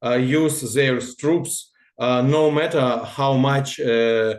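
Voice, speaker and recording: Halting man. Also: mid-range voice, fairly even intonation, roomy sound. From Russia-born, Ukrainian. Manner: halting, searching for words. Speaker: guest. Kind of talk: casual Q&A answers.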